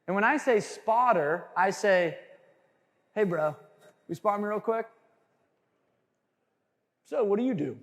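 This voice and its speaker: High-voiced man coaching a crowd in a hall. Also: takes it slowly, pauses often. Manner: animated, jokey, quizzes the crowd. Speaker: sales trainer speaking to a room. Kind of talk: energetic seminar talk to a live audience. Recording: long hall reverb.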